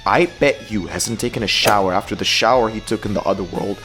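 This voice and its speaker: A YouTube host delivering a comedic scripted rant in a theatrical, exaggerated way, with drawn-out words and outbursts. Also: deep voice, fairly bright tone. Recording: music behind the voice. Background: background music.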